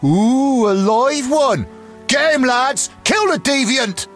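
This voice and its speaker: High-pitched jeering village thug. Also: male voice, lively intonation, slow, over music, exaggerated voice. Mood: taunting, hostile. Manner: taunting, aggressive. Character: jeering, bullying male human village thug. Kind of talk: fantasy video game dialogue.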